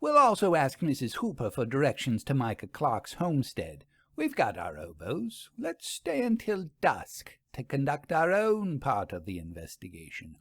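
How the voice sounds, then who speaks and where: A man's voice: mid-range voice, wildly swooping pitch, moderate pace, hardly any pauses, fairly bright tone, dry recording, exaggerated voice. Storybook lady sleuth, read by a man: bossy, wry, scheming, recorded close and dry. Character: bold, wry woman ghost investigator, bossy and scheming. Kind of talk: audiobook character dialogue.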